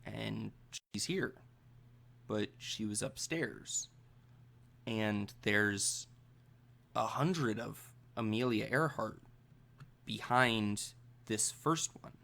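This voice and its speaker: Male game master, unhurried pace, vocal fry, halting improvised narration, suspenseful pauses. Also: on the low side, fairly bright tone.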